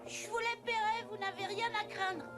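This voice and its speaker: Squeaky, excitable cartoon little pig. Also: female voice, moderate pace. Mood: eager, urgent. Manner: exclamatory, full of little oohs. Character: plucky, excitable young little pig, cheeky hero. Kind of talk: children's cartoon voice acting. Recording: loud music and crowd sounds behind.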